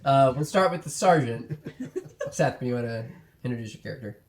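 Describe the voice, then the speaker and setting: Lively young man narrating as game master. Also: medium pitch, normal speed. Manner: lively, laughing storyteller.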